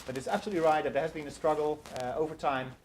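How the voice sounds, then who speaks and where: A middle-aged man lectures at a quick clip, earnest and prone to restarting words mid-thought. His voice sits a little high for a man. The recording carries clear background noise from the hall. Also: steady tone. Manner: earnest, repeats words while searching for phrasing. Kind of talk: academic lecture and Q&A answers.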